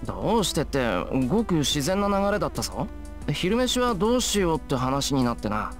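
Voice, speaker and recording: The hesitant, apologetic young hero of an anime visual novel, earnest, flustered and very quick-spoken. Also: male voice, a little high, big pitch swings, faint music behind. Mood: defensive.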